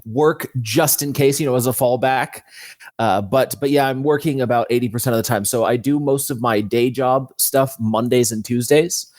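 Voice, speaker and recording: A man's voice: medium pitch, normal speed, darkish tone, no room sound, clean studio sound. A man chatting casually as an interview guest, explaining things in an informal way. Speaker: guest, fantasy author.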